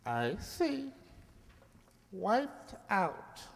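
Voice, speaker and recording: A woman's voice: mid-range voice, sing-song, extremely slow, gravelly, full character voice. A woman playing a brash, tipsy male talking mouse out for a good time in a radio play. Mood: tipsy, wheedling. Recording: reverberant hall, stage-like echo.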